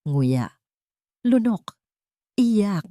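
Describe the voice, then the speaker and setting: A woman's voice: mid-range voice, big pitch swings, drawn-out words, mellow, dry recording, clean studio sound. A woman narrating a scripted first-person audio drama in an emotive, theatrical manner.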